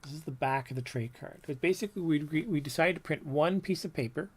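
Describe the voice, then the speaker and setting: A man in his fifties with a low, soft voice, reminiscing casually on a livestream about his old art, with many ums and trailing thoughts. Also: fast talker, breathy edge. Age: 50s. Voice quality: low, soft voice that doesn't project. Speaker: comics artist showing his old work.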